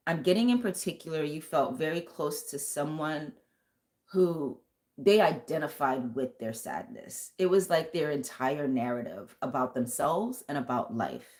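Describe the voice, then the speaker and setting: Low, thick-voiced woman reading tarot live. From United States. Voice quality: thick, lower-pitched voice. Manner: earnest, intuitive, speaks straight to viewers. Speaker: tarot reader and vocal coach.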